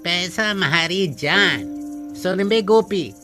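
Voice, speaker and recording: An actor playing a greedy, ruthless village moneylender, bullying people in an animated story. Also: medium pitch, takes it slowly, faint music behind. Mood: gloating, threatening. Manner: bullying, rustic catchphrase-spouting. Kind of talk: acted dialogue in animated moral story.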